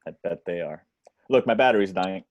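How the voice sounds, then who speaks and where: An irritable, brash movie actor as a man reads him in an amateur film script reading. His voice sits fairly low in a dry recording, with casual, halting phrasing. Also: no echo, muffled recording. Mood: placating. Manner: casual, halting, swearing when annoyed.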